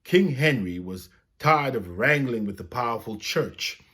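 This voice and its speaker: A man reading a children's story aloud as a storyteller, with expressive, dramatic phrasing. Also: mid-range voice, a little slow, breathy edge.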